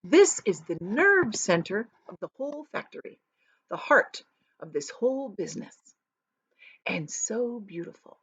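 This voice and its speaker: A woman reading a storybook, voicing an excitable, boastful, eccentric male chocolate factory owner with emphatic, exclamatory delivery. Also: medium pitch, unhurried, breathy edge, no echo, lo-fi sound. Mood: proud, cautioning. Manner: emphatic, exclamatory, repeats phrases for effect.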